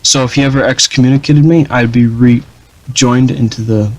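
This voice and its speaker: A young man casually commenting over his strategy game, rambling with many fillers and restarts in a flat, dull-sounding voice. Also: medium pitch, normal speed. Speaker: gaming channel host.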